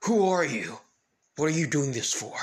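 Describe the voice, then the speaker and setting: A man voicing an urgent police officer in a story, with a hurried, stumbling delivery. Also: medium pitch, level tone, natural voice. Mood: demanding. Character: urgent male police officer, alarmed and commanding.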